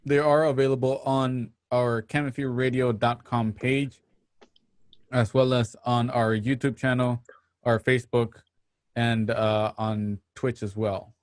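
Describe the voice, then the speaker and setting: A man hosts a radio show in a casual, announcing style. His voice sits at a middle pitch. The sound is dry, with no room to it. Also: flat delivery, a little slow, no echo.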